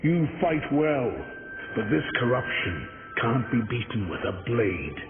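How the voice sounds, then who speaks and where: An actor playing an ancient, weary maker elder in a video game, speaking slowly and gravely, with solemn weight. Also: male voice, medium pitch, big pitch swings. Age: older. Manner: slow, solemn, portentous. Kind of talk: dramatic video game acting. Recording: muffled narrow sound, loud music underneath.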